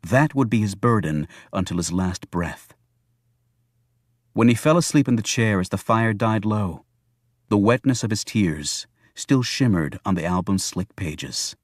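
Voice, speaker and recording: Low-voiced male audiobook narrator, dry sound. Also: animated, unhurried, dry recording. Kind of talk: scripted narration of a thriller novel.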